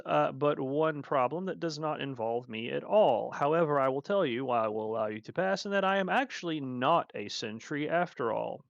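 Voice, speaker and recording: A man's voice: mid-range voice, creaky, a bit bright, dry recording, highs cut off. A man voicing a large, courteous ogre warrior who talks formally and reasonably. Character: polite large male ogre warrior, frank.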